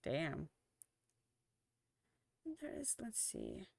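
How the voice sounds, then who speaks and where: A young woman streamer chatting casually at the end of a stream, rambling with lots of fillers and restarts, tired and slowly losing her voice. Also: low voice, huge pitch swings, creaky, slightly rough, dry recording.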